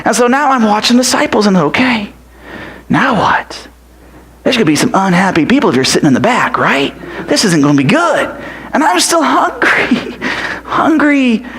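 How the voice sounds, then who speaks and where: Casting: a man doing an excitable young boy, high voice, hushed whispers when counting. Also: normal speed, full character voice. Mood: excited, wondering. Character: eager eight-year-old boy, food-loving, wide-eyed. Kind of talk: character monologue storytelling.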